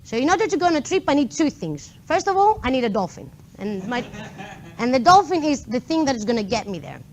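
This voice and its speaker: Young Greek woman giving a lively talk. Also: high voice, brisk. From Greece. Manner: lively, chatty with the audience, many fillers. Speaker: conference speaker. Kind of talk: meetup tech talk. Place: lecture room before an audience.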